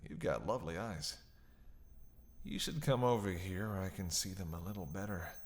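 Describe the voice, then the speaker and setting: A man's voice: sits low, lively intonation, moderate pace. A man playing a snarky, defiant captive vampire in a roleplay audio drama, sarcastic, teasing and theatrical, with a drawl. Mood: flirty, teasing.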